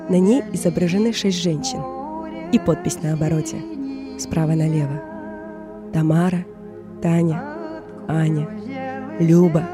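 Reflective woman narrating over clear music. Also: low-pitched. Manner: reflective, contemplative. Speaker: podcast narrator. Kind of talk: scripted personal narration, reflective audio essay.

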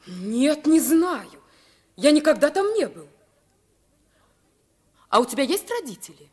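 A woman's voice: high voice, very animated, unhurried, a little mellow. A woman playing a sheltered, self-assured young prince who is curious and genteel, in a radio play. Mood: curious, gracious. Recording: vintage 1950s radio play recording.

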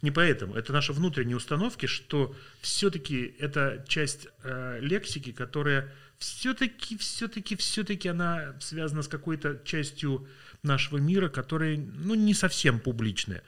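A man's voice: fairly high pitch, expressive, moderate pace, fairly bright tone. A man from Russia, a linguist guest on a podcast, talking thoughtfully with many hesitations and sounding tired. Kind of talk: podcast interview.

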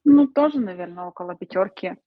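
A woman speaking as a streamer guest in a relaxed interview, chatty, laughing often and filling pauses with hesitations. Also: fairly low pitch, dry recording, muffled recording. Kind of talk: casual interview chat.

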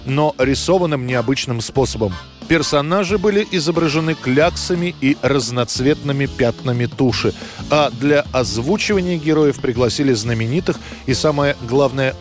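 A man narrating a scripted history programme in a measured, storytelling way. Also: mid-range voice.

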